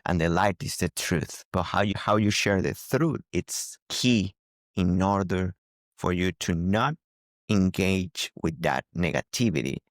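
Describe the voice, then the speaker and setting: A man's voice: sits low, no room sound, clean studio sound. A man giving an earnest, instructive spiritual talk as a teacher, often repeating phrases for emphasis.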